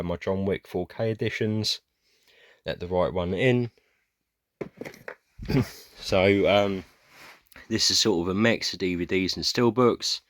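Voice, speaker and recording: A British man giving a rambling, casual tour of his film shelves, hesitant with many fillers, clearing his throat often as his voice goes hoarse. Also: sits low, fairly bright tone. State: going hoarse, losing his voice. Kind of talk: casual room tour vlog.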